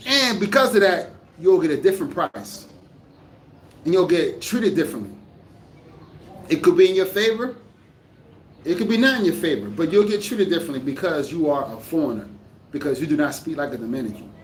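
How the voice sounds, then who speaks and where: A man talks casually to his camera, rambling and restarting his sentences as he works out his thoughts. His voice sits a little high for a man and comes from some distance away, in a room that echoes, with a faint hum of ambience behind him. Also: animated, fairly quick, long pauses. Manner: rambling, restarts often, thinking aloud. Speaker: vlogger. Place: living in the Dominican Republic.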